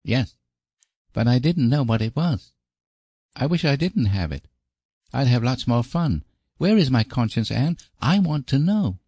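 A man's voice: medium pitch, expressive, unhurried, long pauses, a little mellow, breathy edge, no room sound, studio quality, voice pushed up. A man voicing a guilty, eager little boy in a storybook, childlike, earnest and confessional. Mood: curious, earnest. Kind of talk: storybook character voice.

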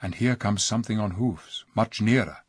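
A man voicing a loyal, grave male unicorn in a storybook reading, speaking low and hushed. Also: normal speed, slightly rough, no echo, studio quality. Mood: wary.

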